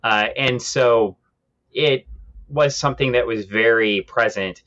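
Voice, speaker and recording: A man's voice: medium pitch, takes it slowly, fairly bright tone, lo-fi sound. A man co-hosting a podcast, explaining things in a casual, conversational way. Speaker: podcast host. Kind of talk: casual discussion of comic book history.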